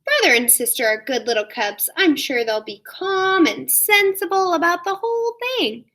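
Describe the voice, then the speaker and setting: A woman's voice: extremely high pitch, very animated, moderate pace, pushed and bright, exaggerated voice. A woman voicing a cheerful, reassuring father bear in a storybook, breezy and untroubled.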